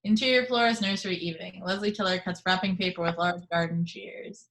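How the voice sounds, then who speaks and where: A woman reading stage directions aloud in a table read, steady and matter-of-fact. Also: on the low side, a little fast, poor audio. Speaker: reader of the action description. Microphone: video call microphone at home.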